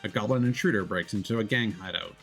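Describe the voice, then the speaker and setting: A man's voice: fairly low pitch, fast, gravelly, a bit bright. A man streaming a video game, chatting casually and reading the game's text aloud, often stumbling over repeated filler words. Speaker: VTuber streamer. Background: faint game music.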